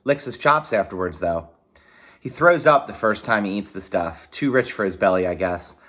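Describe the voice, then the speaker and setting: Expressive man reading a story aloud. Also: on the low side, normal speed. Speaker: reader reading a children's novel aloud with side comments. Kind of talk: read-aloud narration with brief explanatory asides.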